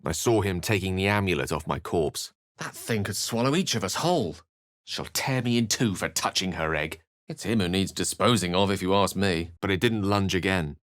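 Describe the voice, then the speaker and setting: A low-pitched, bookish wizard in a fantasy video game. He is wry and courteous, choosing ornate words with theatrical care. His intonation rises and falls widely, and the recording is dry and close. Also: animated, moderate pace, a bit bright, no echo. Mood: wary. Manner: articulate, ornate, dramatic phrasing. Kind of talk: theatrical fantasy game dialogue and storybook-like narration.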